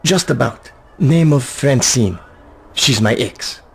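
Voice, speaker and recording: A nervous informant with a creaky, animated voice. He sounds defensive and hesitant, always trying to placate, in a video game scene with faint noise behind. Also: mid-range voice, slow. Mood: placating. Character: nervous, shifty male informant, eager to placate. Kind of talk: video game cutscene voice acting.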